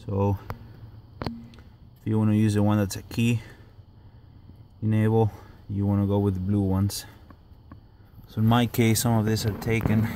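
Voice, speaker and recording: Flat, lowish male DIY instructor. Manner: terse step-by-step instructions in short phrases. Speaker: DIY car owner giving a how-to. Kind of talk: informal hands-on tutorial narration. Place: in and beside a parked car, outdoors. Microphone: handheld camera or phone mic. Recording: clear background noise, handheld camera recording.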